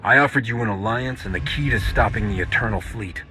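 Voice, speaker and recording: A man playing a brisk, no-nonsense roguish hero in a video game, blunt and commanding. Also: fairly low pitch, bright, forceful tone, soft background music, rough recording. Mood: firm, brisk. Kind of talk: scripted video game acting.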